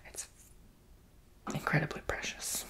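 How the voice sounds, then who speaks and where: A woman's voice: unhurried, a bit bright, natural voice. A woman whispering in an ASMR roleplay as a chatty, quirky, sassy crystal shop saleswoman. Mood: informative, musing. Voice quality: breathy whisper.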